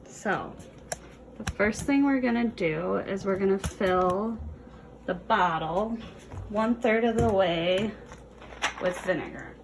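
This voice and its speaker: A woman's voice: mid-range voice, slow pace, long pauses. A woman presenting for children in a read-aloud and casual demonstration style, with a noisy recording. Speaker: storytime presenter.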